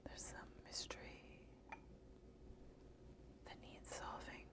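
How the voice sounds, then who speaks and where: Woman, slow unhurried whisper, slightly hoarse, hesitant ASMR book reviewer. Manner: soft hesitant whisper with frequent fillers.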